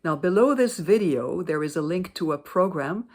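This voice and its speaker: A woman introducing a program as its director, in a calm, welcoming, scripted way. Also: lowish voice, normal speed. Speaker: director and producer.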